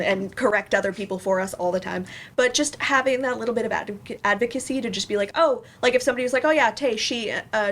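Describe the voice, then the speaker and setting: A woman talking casually as a panelist, in a halting and informal way. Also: a little high. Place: live panel event in a room. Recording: faint room noise.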